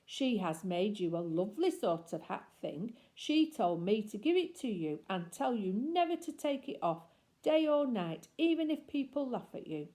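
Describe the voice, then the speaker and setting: Woman doing a loud, taunting bully girl. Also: medium pitch, very animated, moderate pace, voice pushed up, natural voice. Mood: sly. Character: rude, brash bully girl, big and mean.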